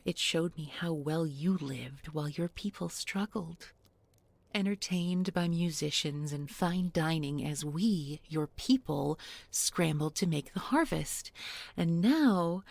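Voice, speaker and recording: Woman playing a breathy, sly fairy-tale captor. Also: sits low, darkish tone, dry recording, heavily acted. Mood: reproachful, ironic. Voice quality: breathy, often dropping to a whisper. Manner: deliberate, coaxing, mock-tender with pointed irony. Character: bookish village beauty turned sly, menacing captor. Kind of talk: intimate audio roleplay spoken to one listener.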